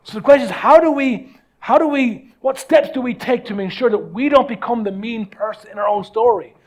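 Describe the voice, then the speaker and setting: High-voiced male preacher, emphatic delivery. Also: brisk. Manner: emphatic, repeats phrases for weight. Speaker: pastor preaching. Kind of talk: conversational motivational sermon.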